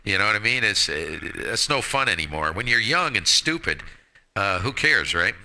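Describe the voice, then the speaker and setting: A man's voice: fairly low pitch, very animated, normal speed, sharp tone. A man of about sixty chatting casually as an amateur radio operator, joking, slangy and self-deprecating. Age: 59, about to turn sixty. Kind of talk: casual radio chat with friends.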